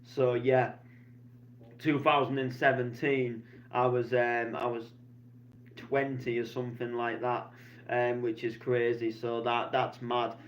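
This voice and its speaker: A man, chatting in a loose, meandering way and filling his sentences with ums and likes. His voice sits at an ordinary middle pitch. The recording sounds a little dull and lo-fi. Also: little pitch movement, slow. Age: 20s. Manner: chatty, meandering, many fillers and restarts. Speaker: DJ vlogger. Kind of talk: rambling casual vlog.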